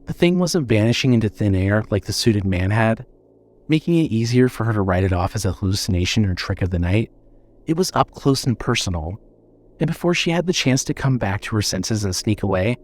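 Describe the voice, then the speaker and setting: Male horror audiobook narrator, dramatic and suspenseful, with a widely moving, expressive pitch. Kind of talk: scripted horror fiction narration.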